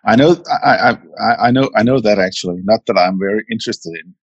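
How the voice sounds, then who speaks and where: Deep husky Danish man in his fifties. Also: no room sound, muffled recording. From Denmark. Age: 50s. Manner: halting, repeats words while searching for phrasing. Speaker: guest lawyer. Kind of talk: casual interview chat.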